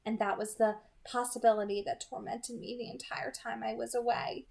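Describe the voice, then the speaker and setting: A woman's voice: fairly high pitch, little pitch movement, normal speed, vocal fry, a little mellow. A woman narrating a novel aloud as an amateur audiobook reader.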